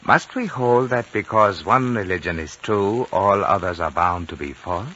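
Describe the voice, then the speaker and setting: An actor playing a courteous, enigmatic elderly Chinese lamasery guide with formal, deliberate speech in a 1950s radio drama. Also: male voice, low-pitched, animated, bright, forceful tone. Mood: serene, gently probing. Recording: dull, noisy 1950s radio recording.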